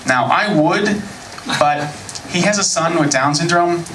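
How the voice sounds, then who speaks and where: An American man in his thirties performing a stand-up comedy set on a club stage, with a dry, deadpan delivery. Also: fairly high pitch, measured pace, a little hiss or hum. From United States. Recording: echoey club recording from far off. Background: audience laughter.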